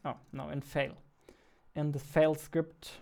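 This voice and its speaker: A man's voice: on the high side, level tone, slow pace, creaky, a little mellow. A man in his twenties, a game developer, muttering to himself in short fragments as he casually works through code. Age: 20s. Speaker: indie game developer, host. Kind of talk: casual thinking-aloud coding devlog. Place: indoors at a computer desk. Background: faint music, keyboard typing and clicking.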